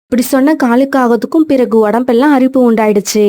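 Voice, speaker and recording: A woman narrating a children's cartoon story in a clear, even storytelling manner. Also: on the high side, fast talker, no echo.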